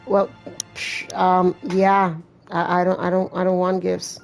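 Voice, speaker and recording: A woman's voice: on the low side, completely flat delivery, measured pace, vocal fry. A woman hosting a gaming video, reading game text aloud and breaking off for wry, blunt, casual asides. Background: faint game music.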